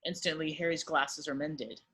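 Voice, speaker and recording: Husky, slightly high-pitched male script narrator. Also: fairly even intonation, moderate pace, sharp tone. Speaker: narrator reading stage directions. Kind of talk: scripted narration at a table read.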